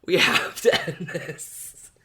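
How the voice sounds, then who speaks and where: A woman's voice: slow, fairly bright tone, a little hiss or hum. A woman co-hosting a podcast in casual chat, with a dry, wryly teasing way of talking. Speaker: podcast co-host.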